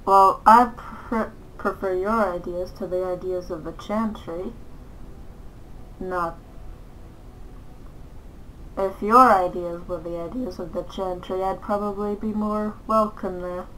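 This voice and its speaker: A woman casually role-playing a video game's player lines aloud, reading in a halting, deliberate way. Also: medium pitch, steady tone, a bit bright, a little hiss or hum, poor audio. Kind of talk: casual role-play story-time narration of a video game.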